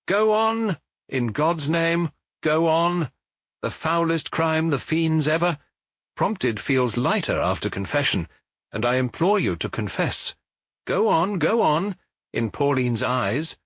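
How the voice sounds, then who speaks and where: A man's voice: fairly high pitch, animated, slow pace, fairly bright tone, dry recording, voice pushed up, heavily acted. A man voicing a small, sorrowful priest detective in an audiobook, solemn, earnest and imploring. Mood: urgent, imploring.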